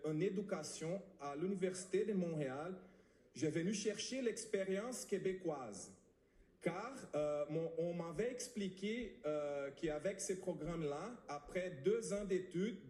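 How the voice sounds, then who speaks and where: A man's voice: fairly high pitch, mellow. A man in his thirties from Brazil, speaking French, pleading earnestly at a press conference and often hesitating and restarting. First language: Portuguese. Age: 30s. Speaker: immigrant giving testimony at a press conference. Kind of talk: emotional public testimony. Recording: echoey room.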